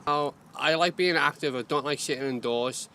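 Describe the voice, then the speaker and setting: Young-sounding gardener, halting. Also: male voice, fairly high pitch, pushed and bright, slight background noise. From Liverpool, England. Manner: simple, halting, open. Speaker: community gardener. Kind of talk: interview answers outdoors.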